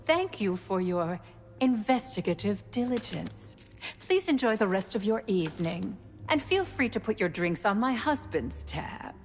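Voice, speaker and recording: An actress playing an imperious, scheming politician's wife with a condescending, mock-polite manner in a video game. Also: female voice, mid-range voice, sing-song, a little slow, faint music behind. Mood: brisk, mock-polite. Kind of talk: cinematic video game acting.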